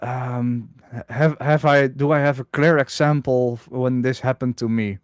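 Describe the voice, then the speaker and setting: Guy on a stream, smooth voice, droning and halting, dry close mic. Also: medium pitch, no room sound. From Netherlands. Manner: hesitant, many restarts, self-described droning monotone. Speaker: livestream host. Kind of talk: casual chat.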